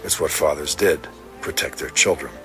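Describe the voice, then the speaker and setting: An actor playing a brooding police detective musing tersely in an audio drama monologue. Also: male voice, extremely low pitch, moderate pace. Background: music.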